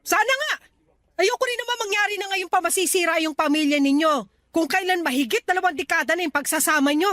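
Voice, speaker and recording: Actress as blunt older mother, very high voice, scolding, insistent, radio drama. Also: quick pace, a bit bright, no room sound, clean studio sound. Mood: worried. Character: blunt, nagging older mother warning her married daughter. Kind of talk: Tagalog radio drama acting.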